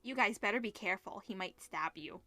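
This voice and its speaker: A woman's voice: medium pitch, expressive, brisk, bright, forceful tone, dry recording, faint music behind. A woman voicing a jeering, crude teenage schoolboy bully in a visual novel, with a taunting manner. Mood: sneering. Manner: taunting, sneering.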